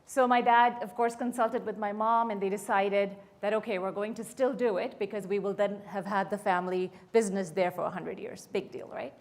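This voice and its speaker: A woman's voice: medium pitch, steady tone, brisk, few pauses. A woman in her fifties, from Bangladesh, telling her life story to an audience as a guest speaker and asking them questions along the way. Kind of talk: personal story told to an audience.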